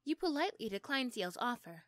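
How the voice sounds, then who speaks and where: A woman's voice: fairly high pitch, sing-song, a little fast, fairly bright tone, no echo, clean studio sound. A woman narrating a scripted second-person story for an ASMR roleplay.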